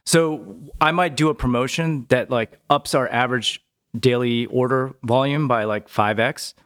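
A man talking as a podcast guest in a relaxed, chatty way, often tagging his points with a quick question. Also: medium pitch. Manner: relaxed, chatty, tags sentences with "right?". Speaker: podcast guest, business owner. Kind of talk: casual conversational interview.

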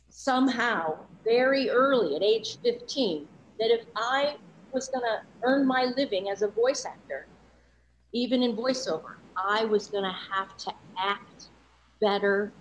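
A woman's voice: on the high side, lively intonation, measured pace, slight background noise, rough recording. Earnest woman guest reflecting candidly. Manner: earnest, reflective, many "you know" asides. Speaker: voice-over coach and guest. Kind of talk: candid interview talk.

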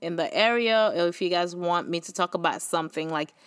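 A woman's voice: on the low side, fairly quick, clear, resonant tone, no room sound, studio quality. A young woman with a Haitian accent hosting a faith podcast, talking casually and earnestly, encouraging listeners with many fillers. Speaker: podcast host.

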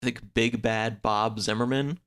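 Guy with a lowish voice, casual podcast chat, kinda halting as he recaps stuff. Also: fairly even intonation, fairly bright tone, clear, resonant tone. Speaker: podcast speaker discussing books.

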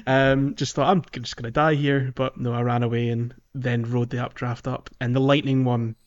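A man's voice: medium pitch, flat delivery, moderate pace, muffled recording. A man chatting casually about a video game as a podcast host, hesitant and thinking aloud, with an easy laugh.

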